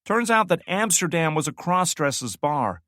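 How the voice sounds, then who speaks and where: A man's voice: mid-range voice, expressive, moderate pace, no room sound, clean studio sound, voice pushed up, natural voice. A man voicing a brash, foul-mouthed young woman soldier who tells a story with boisterous, swearing glee. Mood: gleeful telling.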